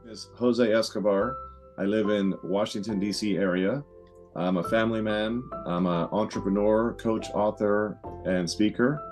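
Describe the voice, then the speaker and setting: A man's voice: on the low side, steady tone, unhurried, darkish tone. A man who is an entrepreneur and coach, giving a confident promotional self-introduction and listing his credentials. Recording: promo clip with guitar music behind. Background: guitar music.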